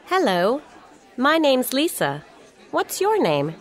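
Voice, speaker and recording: Cheerful young girl, high lively voice, slow friendly questions. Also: a faint crowd, full character voice. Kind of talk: scripted language-course dialogue.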